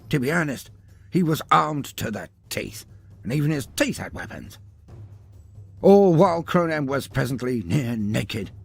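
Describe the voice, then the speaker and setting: A man's voice: medium pitch, gravelly, husky. A man reading scripted fantasy storytelling in a theatrical, grandiloquent manner with wry asides. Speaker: lore video narrator. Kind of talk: dramatic scripted story narration.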